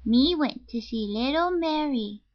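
A woman voicing an artless, literal-minded little boy in an audiobook, with childish grammar and drawn-out baby talk. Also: high voice, animated, highs cut off, voice pushed up. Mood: artless, pleased. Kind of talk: audiobook character voice.

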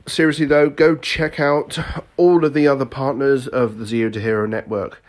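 A man's voice: medium pitch, steady tone, normal speed. A man presenting a casual, tongue-in-cheek podcast network promo.

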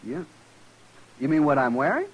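A man's voice: a little high, sing-song, normal speed, breathy edge, muffled recording. A man in a 1950s radio western playing a cocky, goading Army lieutenant with a mocking swagger. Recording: noisy vintage radio drama recording.

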